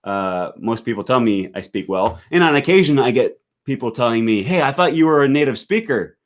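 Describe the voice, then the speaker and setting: A man's voice: medium pitch, expressive, fairly quick. An American man hosting a language-learning live stream, thinking aloud with frequent fillers and restarts as he reads viewer comments. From United States.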